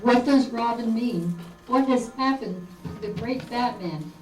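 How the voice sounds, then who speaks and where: A woman's voice: on the high side, a little slow, darkish tone. A woman reading a script as an old-time radio announcer. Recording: roomy, distant mic, music behind.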